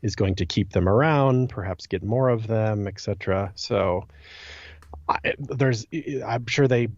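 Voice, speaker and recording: Man, slightly deep, conversational gaming podcast co-host who repeats himself for emphasis; dry, somewhat dull sound. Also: measured pace, no echo. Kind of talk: casual chat about an online game.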